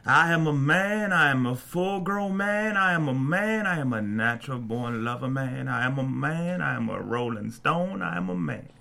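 Actor playing a swaggering, gravelly bluesman. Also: medium pitch, animated, normal speed, few pauses, pushed and bright. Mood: belting, boastful. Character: confident, swaggering blues singer in his late thirties. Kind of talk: screenplay table read.

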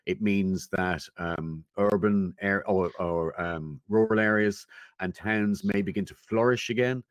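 A man giving considered opinions as a guest in a conversational interview, thoughtful and measured, often pausing as he goes. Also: sits low, dry recording. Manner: thoughtful, measured, halting with pauses. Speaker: interview guest, technology commentator.

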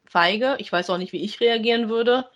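A woman's voice: on the high side, normal speed, lo-fi sound. A woman co-hosting a casual review podcast, talking in a colloquial, agreeable way. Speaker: podcast co-host. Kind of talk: casual review chat about an audio drama.

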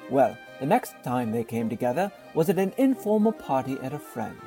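Animated storytelling narrator with music. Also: mid-range voice, normal speed. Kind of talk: scripted story narration for radio drama.

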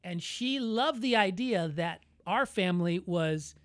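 A man with an American accent co-hosting a podcast, talking in a candid, reflective and measured way. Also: high voice, animated, no room sound. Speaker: podcast co-host. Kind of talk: casual conversational podcast.

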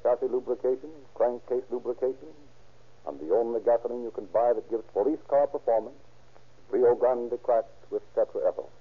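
A man's voice: mid-range voice, moderate pace, breathy edge. An American man narrating in a formal, dramatic, scripted 1930s radio announcer style. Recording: tinny old-time radio recording.

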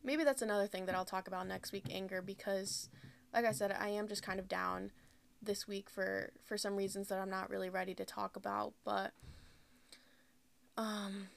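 A young woman around twenty talks alone into the mic for her podcast, rambling and candid, leaning on "like" constantly. Her pitch stays fairly level with little rise and fall, and a gravelly vocal fry runs through her words. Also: fairly even intonation, moderate pace, slight background noise. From United States. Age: about 20. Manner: candid, rambling, heavy use of "like". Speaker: podcast host. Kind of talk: casual solo podcast talk.

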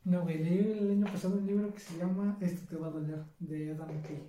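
Young Mexican male co-host, higher voice, joking and slangy, echoey noisy room. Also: steady tone, fairly quick, mellow. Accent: Mexican Spanish. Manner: joking, slangy, says güey constantly. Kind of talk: casual chat. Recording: echoey room with clear background noise.

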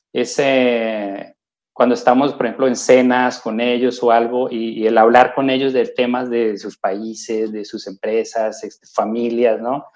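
Colombian man, guest, gravelly voice, self-deprecating, dull lo-fi sound. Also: medium pitch, a little mellow. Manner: self-deprecating, chatty, many fillers. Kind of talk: casual interview answer.

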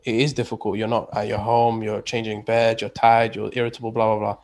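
A man's voice: on the low side, flat delivery, fairly quick, darkish tone. A man talking casually as a guest, rambling with many restarts and fillers. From England. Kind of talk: casual conversation.

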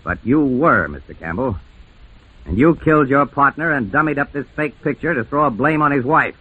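A man's voice: mid-range voice, expressive, normal speed, husky, no room sound. A man playing a shrewd, blunt police lieutenant in an old-time radio mystery, with probing, emphatic delivery on a muffled vintage recording. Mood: accusing.

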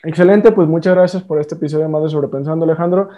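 A man's voice: a little high, fairly even intonation, fast, warm tone. A man hosting a casual podcast interview, friendly and a bit hesitant, full of fillers. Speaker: podcast host. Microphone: remote online call.

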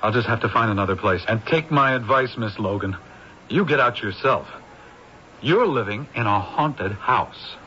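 An actor playing a brusque, impatient film director in a radio drama. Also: male voice, mid-range voice, expressive, moderate pace, pushed and bright. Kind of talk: 1970s radio drama acting. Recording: dull old radio broadcast, faint hiss.

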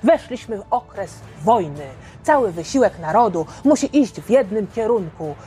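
A woman's voice: medium pitch, lively intonation, moderate pace, mellow, soft background music, lightly acted. A woman playing a grave male wartime radio announcer, reading a solemn, emphatic proclamation in an audio story. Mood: solemn, resolute.

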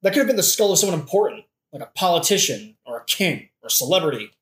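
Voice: medium pitch, big pitch swings, quick pace, lightly acted. A performer playing a brooding, indignant young prince who rants sardonically in a modern comic audio drama. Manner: ranting, sardonic, swearing. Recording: roomy echo, faint noise.

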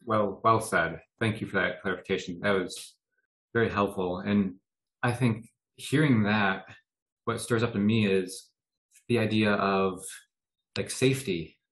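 Low-voiced man in an echoey room. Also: steady tone, unhurried, fairly bright tone. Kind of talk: casual podcast discussion.

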